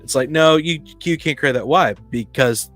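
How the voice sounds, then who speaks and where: A man's voice: fairly high pitch, normal speed, a bit bright, soft background music. A man in a casual panel discussion about tabletop gaming, speaking in an opinionated, blunt way. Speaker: podcast panelist.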